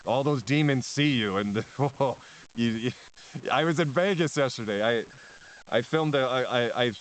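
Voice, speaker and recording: A man's voice: medium pitch, a little slow, low-quality recording. A man, a spiritual teacher talking as a podcast guest in a rambling way, with many restarts and frequent laughs. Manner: rambling, repeats words, laughs often. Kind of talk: casual podcast conversation.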